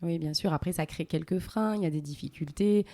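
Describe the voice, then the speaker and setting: A woman in her thirties hosts a casual interview. Her voice is mellow and a little low, and her phrasing is gentle and measured. The recording is dry and close. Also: no echo. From Algeria, raised in France. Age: 30s. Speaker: host interviewing her mother.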